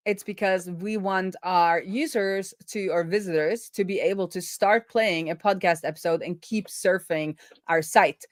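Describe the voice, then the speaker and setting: Woman thinking aloud, slightly low voice. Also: measured pace, no room sound, studio quality. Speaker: host of a coding livestream. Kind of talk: casual live coding chat, thinking aloud.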